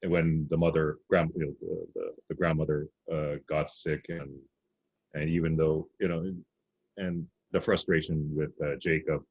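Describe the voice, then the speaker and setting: A man with an extremely deep voice speaks haltingly, stopping and restarting his thoughts. His voice is husky and breathy. The call audio sounds dull and lo-fi. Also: level tone, darkish tone. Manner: hesitant, many restarts and fillers. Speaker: panelist. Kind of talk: halting panel discussion. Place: video call.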